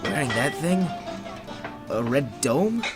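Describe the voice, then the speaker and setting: A man's voice: mid-range voice, sing-song, slow pace. Anxious male loser man acting, stumbling speech, medium register, radio drama with music underneath. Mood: anxious, hesitant. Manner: hesitant, stammering, anxious. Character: nervous, hapless young male failed comedian. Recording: prominent music bed behind voice.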